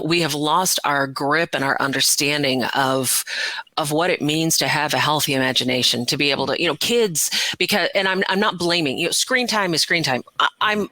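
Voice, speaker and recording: A middle-aged woman talking as an interview guest, conversational, passionate, earnest and expressive. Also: sits low, no room sound, poor audio. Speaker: interview guest, voiceover artist and audiobook narrator.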